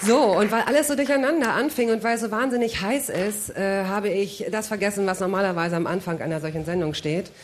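A woman's voice: medium pitch, brisk, few pauses. A woman hosting a live radio talk show, asking teasing questions in a chatty, casual way. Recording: outdoor live stage, faint crowd noise.

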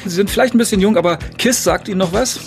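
A man hosting a radio interview, joking and quick with his questions. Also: high-pitched, soft background music. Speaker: radio host.

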